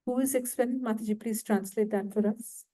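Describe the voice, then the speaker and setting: A woman giving a devotional lecture to a temple audience, slow and often asking questions. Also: fairly high pitch, steady tone, dark tone. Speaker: guest lecturer. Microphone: handheld mic, failing at times.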